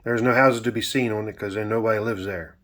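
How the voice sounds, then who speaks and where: A man's voice: on the low side, fairly even intonation, fast talker, a bit bright, lightly acted. A man voicing a stern, angry old grandfather from the country, preachy and commanding, in a dramatic story. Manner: preachy, commanding, rustic speech.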